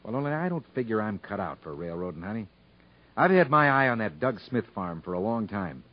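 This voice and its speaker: An actor playing a stubborn, proud rural moonshiner and husband with folksy country talk in a 1950s radio drama. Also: male voice, fairly low pitch, big pitch swings, a little fast. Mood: earnest, tender. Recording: muffled 1950s radio broadcast recording.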